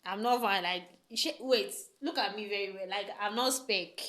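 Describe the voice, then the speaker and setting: A woman's voice: mid-range voice, moderate pace, fairly bright tone. Chatty woman vlogger, emphatic. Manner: chatty, emphatic, many rhetorical questions and "right?" tags. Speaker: vlogger giving relationship advice. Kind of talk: casual talk to camera.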